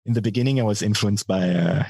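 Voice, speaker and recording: Low husky man with a bass voice. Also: fast talker, a little mellow, no echo. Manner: thoughtful, hesitant with many fillers. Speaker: guitarist and vocalist, guest. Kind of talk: casual interview answers.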